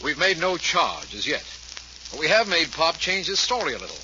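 Formal district attorney, noisy old radio. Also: male voice, a little high, big pitch swings, normal speed, sharp tone, full character voice. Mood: official. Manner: formal, clipped, official. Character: earnest, authoritative male district attorney. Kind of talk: 1940s radio acting. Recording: noisy, muffled 1940s radio recording.

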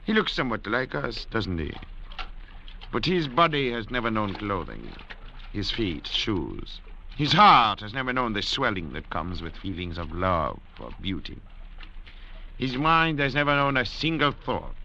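A cold French doctor and murderer with grand, oratorical disdain. The voice is low and forceful with a gravelly grain. It comes from a muffled 1940s radio drama recording. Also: male voice, big pitch swings, heavily acted. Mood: haughty, disdainful. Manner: pompous, measured, oratorical. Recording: dull, noisy vintage radio recording.

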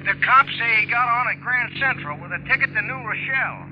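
An actor playing a blunt, excitable taxi dispatcher over a two-way radio in a 1940s radio drama. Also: male voice, very high voice, fast. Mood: urgent, alarmed. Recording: dull, noisy old radio broadcast.

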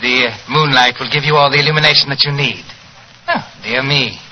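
An actor playing an ominously polite waxworks owner with an English accent in a 1940s radio mystery. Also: male voice, medium pitch, fairly even intonation, a little fast, muffled recording, noisy background, natural voice. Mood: politely ominous. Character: suave, sinister waxworks owner with polite menace. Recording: old radio recording with music behind.

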